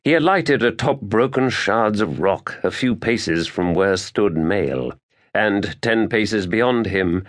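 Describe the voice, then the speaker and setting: Deep voiced guy reading an epic fantasy audiobook, grave and measured, dramatic storyteller style. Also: animated. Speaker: audiobook narrator. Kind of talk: scripted narration of epic fantasy.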